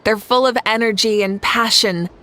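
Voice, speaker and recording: Confident, highish-voiced young female leader. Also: moderate pace, bright, forceful tone, slight background noise. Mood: assured, earnest. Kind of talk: video game voice acting.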